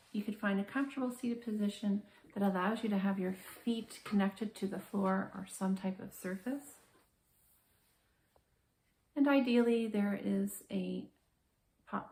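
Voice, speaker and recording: A woman guiding a body scan meditation in a calm, gentle, measured manner with slow, soothing pauses. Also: mid-range voice, vocal fry, reverberant, low-quality recording. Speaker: meditation guide, therapist.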